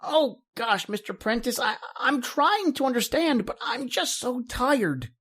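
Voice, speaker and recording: Male actor as eager young assistant; high, very lively, husky, deferential, radio-play style. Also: unhurried, exaggerated voice. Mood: weary, confused. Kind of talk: old-time radio acting.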